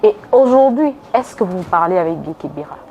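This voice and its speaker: Lowish-voiced woman interviewing, faint noise. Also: animated, fairly quick, mellow, dull audio. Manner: probing, presses with follow-up questions. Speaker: television interviewer. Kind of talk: broadcast interview. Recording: background noise and room ambience.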